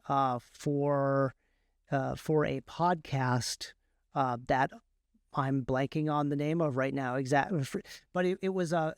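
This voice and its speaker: Smooth, higher-pitched man explaining conversationally. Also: dry recording, clean studio sound. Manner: halting, self-correcting, explanatory. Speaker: podcast guest, author and audiobook narrator. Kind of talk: conversational interview answers.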